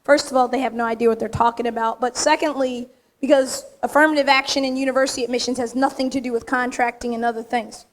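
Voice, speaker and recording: High, slightly raspy woman speaking straight. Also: fairly even intonation, quick pace. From United States. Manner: straight-talking, emphatic, punchy short phrases. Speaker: award recipient giving an acceptance speech. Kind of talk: acceptance speech to a live audience. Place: large event room with audience.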